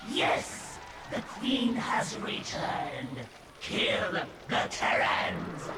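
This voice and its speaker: A woman voicing a fierce, devoted alien insectoid brood mother in a video game, with a breathy monstrous growl, creature processing and slow reverent delivery. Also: lowish voice, very animated, very noisy, full character voice. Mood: fierce triumph. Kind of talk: video game cutscene acting. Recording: echoey, distant, under loud music.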